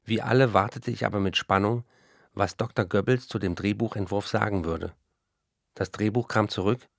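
An actor in a radio play voicing an embattled, wry German novelist of the 1930s, reading out letters and diary entries. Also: male voice, on the low side, steady tone, moderate pace, creaky, no room sound, studio quality. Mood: bitterly sarcastic.

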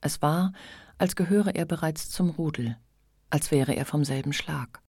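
An actress as the calm, understated narrator of a literary radio play. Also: female voice, low-pitched, measured pace, breathy edge, no room sound, clean studio sound, full character voice. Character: calm, observant female narrator of a literary tale.

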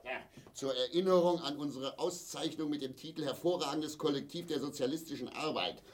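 An actor playing a bitter, volatile East German husband in a grotesque radio play, erratic between muttering and shouting. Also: male voice, on the high side, brisk, natural voice. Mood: bitter, agitated.

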